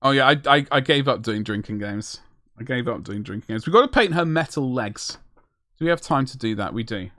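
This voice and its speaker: A man's voice: mid-range voice, animated, brisk, a bit bright, no echo, clean studio sound. Rambling man chatting while he paints. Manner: rambling, self-deprecating, answers chat. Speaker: miniature painting streamer. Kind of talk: casual live-stream chat while painting.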